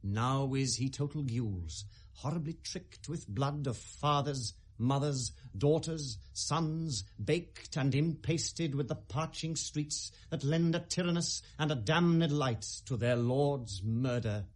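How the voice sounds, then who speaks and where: A British actor playing a brooding, sardonic young prince feigning madness, theatrical and mercurial in a 1970s classical radio drama. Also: male voice, mid-range voice, slow pace. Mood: reflective, declaiming. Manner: theatrical, mercurial, precisely declaimed.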